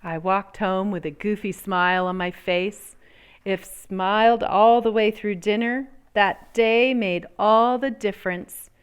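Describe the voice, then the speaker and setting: A woman reading a children's picture book aloud in an expressive, theatrical way, drawing out words and pausing for emphasis. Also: mid-range voice, clear, resonant tone.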